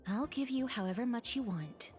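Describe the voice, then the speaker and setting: A woman's voice: fairly high pitch, lively intonation, moderate pace, darkish tone, lightly acted. A woman voice-acting a polite, reserved young noblewoman in a video game, speaking earnestly and courteously. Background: game music.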